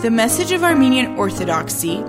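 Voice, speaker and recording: A woman announcer reading a scripted donation appeal for a podcast in a smooth, even, read-out delivery. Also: a little high, fairly quick. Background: loud piano music.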